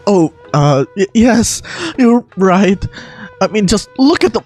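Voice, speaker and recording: A man's voice: high voice, expressive, takes it slowly, a little mellow, soft background music, heavily acted. A man playing a flustered, eager young male architect in a game scene, hesitant and stammering.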